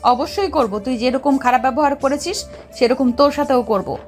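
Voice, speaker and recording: A woman's voice: sits high, normal speed, pitched above the performer's own voice, close to an everyday voice. A woman voicing an angry, domineering young female boss in an audio story, scolding and giving orders. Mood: menacing. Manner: scolding, commanding. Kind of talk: Bengali audio story character dialogue. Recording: music under the voice.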